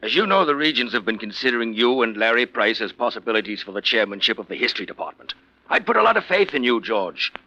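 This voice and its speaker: A paternal, disappointed college president in a radio drama of the 1940s. The voice is piercingly bright and animated, with a measured, questioning manner, on a dull, dry old recording. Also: male voice, medium pitch, dry recording. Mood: disappointed, probing.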